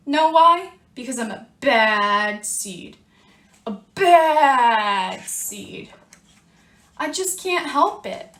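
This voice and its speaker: A brazen little seed from a children's storybook, acted out by a woman, confessing its badness with drama. The delivery is slow and drawn out, fairly high in pitch, in an echoey room. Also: a bit bright. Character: self-declared bad sunflower seed, defiant and dramatic, storybook.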